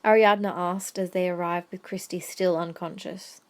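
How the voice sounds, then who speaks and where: A woman's voice: lowish voice, fairly even intonation, moderate pace. A woman reading scripted third-person story narration as the narrator of an audio drama.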